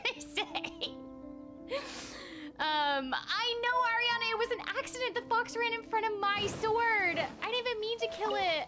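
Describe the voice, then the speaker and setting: A young woman chatting casually as she streams a game, giggly and quick to exclaim, on a close microphone indoors. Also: high voice. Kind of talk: casual chat over live gameplay. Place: indoor room at home. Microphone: close desk microphone with webcam. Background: game music.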